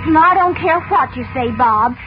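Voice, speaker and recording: A woman's voice: sits high, normal speed, over music. An actress playing an eager, chatty teenage girl in a 1940s radio drama. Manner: bubbly, excitable. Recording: muffled old radio broadcast with hiss.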